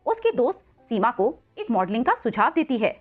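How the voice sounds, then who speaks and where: A woman's voice: a little high, sing-song, fairly quick, soft background music. A woman narrating a scripted children's story as storyteller, on a narrow phone-like recording.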